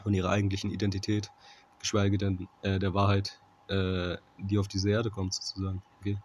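A man with a fairly low voice teaches scripture informally. His delivery is very flat and halting, and he keeps tagging his points to check that the listener follows. The amateur recording has clear background noise. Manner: halting, often checking with "okay?". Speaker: Bible teacher. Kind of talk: informal Bible commentary with scripture reading.

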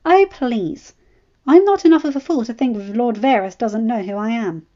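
A woman voicing a sharp, bold noblewoman with a blunt, self-assured manner. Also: a little high, moderate pace, dull audio, voice pushed up, lightly acted. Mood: blunt, confident.

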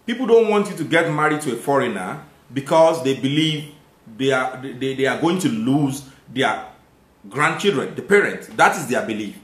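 A man in his thirties with a Nigerian accent hosts a casual live stream, reading viewer comments aloud and thanking viewers warmly. His voice sits at an average pitch and rises with conviction when he makes a point. The room around him echoes noticeably. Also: expressive, moderate pace.

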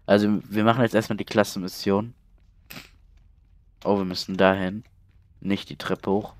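A man's voice: lowish voice, level tone, moderate pace. A man with a cold giving casual, chatty let's play commentary through a headset, reading out game text along the way. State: stuffy cold.

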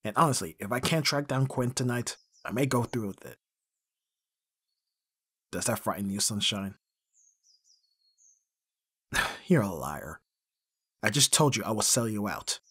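A male actor plays a gruff, protective bodyguard in an audio roleplay. The voice is husky and raspy, recorded close and dry. He talks bluntly and guardedly, with sighs and curses. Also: fairly low pitch, animated, a little slow, pauses often, fairly bright tone, dry recording, full character voice. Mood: grim, blunt. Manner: gruff, sighing, swearing, blunt. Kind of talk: intimate ASMR roleplay acting.

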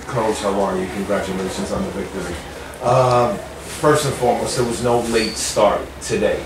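A man's voice: fairly low pitch, moderate pace. Distant, echoey male reporter. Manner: polite, formal phrasing. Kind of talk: press conference questions. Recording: distant echoey room with noise.

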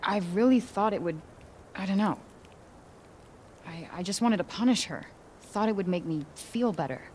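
A woman's voice: mid-range voice, normal speed, darkish tone, slight background noise, natural voice. A woman playing a young, tough, sarcastic American game heroine with a conscience, blunt and slangy in delivery. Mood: troubled, subdued. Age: young adult. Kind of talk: naturalistic video game voice acting.

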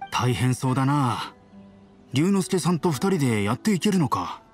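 A man playing a kind, steady middle-aged villager in an anime game, calm and neighbourly. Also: medium pitch, normal speed, faint music behind, lightly acted. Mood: calm, caring. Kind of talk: Japanese anime game voice acting.

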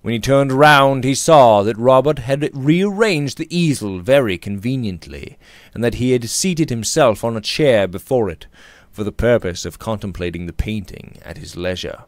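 A man in his thirties narrating a Victorian novel theatrically, with measured, emphatic phrasing, close to the microphone in a small room. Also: medium pitch, lively intonation, no room sound. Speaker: audiobook narrator. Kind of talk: dramatic reading of a Victorian novel. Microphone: studio condenser microphone on a boom arm, close up.

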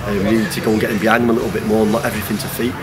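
A man's voice: on the low side, little pitch movement, rapid-fire. A man in his forties with a Northern English accent, a plain-spoken football manager giving a colloquial post-match interview outdoors. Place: outdoors on an empty football pitch. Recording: loud outdoor background noise, traffic.

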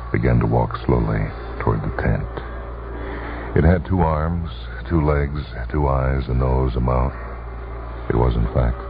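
A man narrating a story for radio in a deliberate, dramatic manner with weighty pauses. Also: extremely low pitch, gravelly. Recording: dull lo-fi old radio recording. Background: music.

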